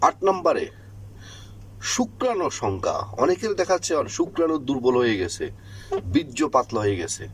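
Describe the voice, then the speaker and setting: Animated Bangladeshi man explaining things. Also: medium pitch, a little hiss or hum, rough recording. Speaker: health advice channel host. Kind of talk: informal instructional monologue to listeners.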